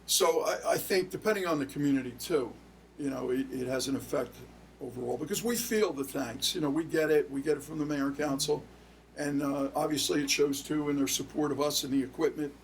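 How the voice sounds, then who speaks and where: An older man giving plain-spoken, somewhat halting answers as an interview guest. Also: a little high, slight background noise, rough recording. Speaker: interview guest, retired fire official and school principal. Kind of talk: conversational interview.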